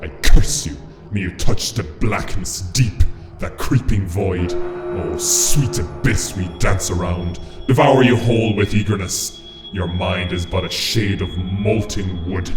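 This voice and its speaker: Deep voiced bossy old-world imp, guy acting, stern n pompous, radio drama w music and crickets under it. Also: measured pace. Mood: furious, cursing. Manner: pompous, archaic, declamatory. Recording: music and insect chirps behind voice.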